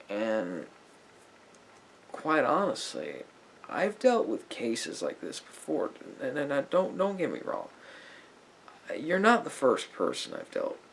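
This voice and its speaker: A man playing a calm, patient therapist in a roleplay, gently questioning a client in a measured, hesitant way with long pauses. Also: mid-range voice, vocal fry, a little hiss or hum, heavily acted. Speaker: ASMR roleplay performer. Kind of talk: therapist roleplay ASMR, addressing the listener.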